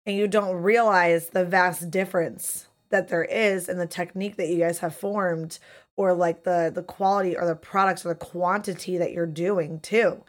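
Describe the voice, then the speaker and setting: A woman in her thirties hosting a live-streamed chat show, hoarse from losing her voice, talking casually and swearing freely. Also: on the low side, moderate pace. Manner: profane, chatty, animated. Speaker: podcast host.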